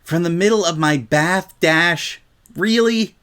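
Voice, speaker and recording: A man's voice: on the high side, takes it slowly, fairly bright tone, smooth, pitched above the performer's own voice. A man voicing a put-upon young male creature, exasperated and whiny, in a comedic story reading.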